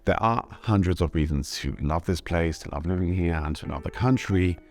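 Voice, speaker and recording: A young Englishman with an English accent, telling his own story in measured, reflective scripted narration. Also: deep voice. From Britain. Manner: thoughtful, measured storytelling, switching between English and German. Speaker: vlogger.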